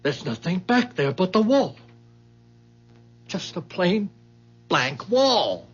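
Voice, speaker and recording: A man's voice: high-pitched, sing-song, takes it slowly, heavily acted. An actor playing an angry, suspicious man who bullies and sneers impatiently in a 1970s radio drama. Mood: rattled, defiant. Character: angry, bullying, suspicious male accuser. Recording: dull 1970s radio recording with music.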